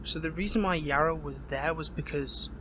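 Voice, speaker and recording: A man playing an earnest, conflicted young captured hero in an amateur audio roleplay, speaking haltingly, with pleading emotion. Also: on the high side, noisy background, close to an everyday voice. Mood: disbelieving. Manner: halting, emotional, pleading, repeats and breaks off words. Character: earnest captured young male superhero, shaken, conflicted and lovesick.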